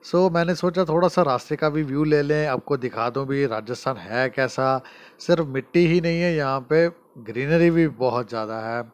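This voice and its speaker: A man's voice: medium pitch, moderate pace, no room sound. A man giving a friendly road trip voice-over, talking straight to viewers. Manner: friendly, addresses viewers directly. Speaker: travel vlogger.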